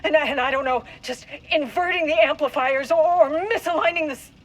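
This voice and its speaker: An actress playing an obsessive, resentful and ruthless research scientist in a video game, scornful and intense. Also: female voice, high-pitched, a little fast, lightly acted, poor audio. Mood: seething, indignant. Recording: loud music behind the voice.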